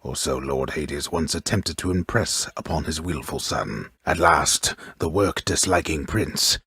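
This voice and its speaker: Very deep, husky mythic storyteller. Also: male voice, sharp tone, exaggerated voice. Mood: solemn, wry. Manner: solemn, measured, formal, wry. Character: grave, grandiose male storyteller of an underworld myth, drily mocking. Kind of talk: scripted game narration.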